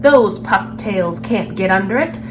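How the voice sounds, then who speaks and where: A woman's voice: mid-range voice, lively intonation, measured pace, music in the background, lightly acted. A woman voicing a stubborn, boastful male gardener in a picture book, with emphatic, self-satisfied declarations. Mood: defiant.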